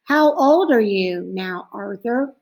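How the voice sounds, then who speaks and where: A woman's voice: mid-range voice, animated, takes it slowly, darkish tone. A woman voicing a kindly, reassuring male children's dentist in a storybook. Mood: friendly.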